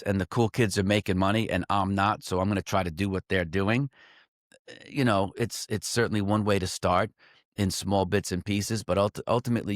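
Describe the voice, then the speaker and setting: A man's voice: lowish voice, no echo, studio quality. A man talking as a trading coach, reflecting aloud in a loose, conversational way, full of "like" and "um" and restarting his sentences as he goes. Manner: restarts and self-corrections mid-sentence. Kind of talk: reflective solo talk to camera.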